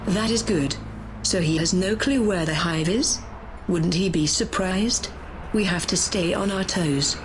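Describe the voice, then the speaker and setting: Lady doing a scheming power-hungry sci-fi woman, impatient and threatening, clear humming noise behind her. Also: lowish voice, unhurried, close to an everyday voice. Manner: bossy, menacing. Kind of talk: sci-fi audio drama acting.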